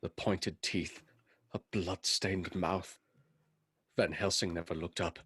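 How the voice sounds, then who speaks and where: An actor playing an earnest, haunted doctor who narrates gothic horror in a measured, dramatic radio-play style. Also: male voice, sits low, fairly even intonation, fairly bright tone, breathy edge, exaggerated voice. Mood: dread. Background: music.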